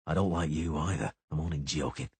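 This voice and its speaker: A man's voice: a little fast, breathy edge, no room sound, exaggerated voice. A man voicing a grim, weary monster hunter who speaks curtly and dryly. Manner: low, flat, curt.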